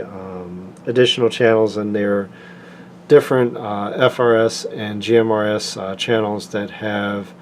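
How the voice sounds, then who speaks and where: Low-voiced man giving an informal product review. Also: darkish tone, slight background noise. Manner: halting, unscripted, plain-spoken explanation.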